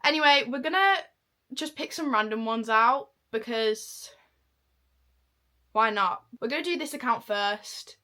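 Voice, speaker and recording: A woman's voice: on the high side, animated, a little slow, bright, forceful tone. A young woman from Manchester chatting casually in a reaction vlog, mocking herself as she goes. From Manchester, England. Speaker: vlogger.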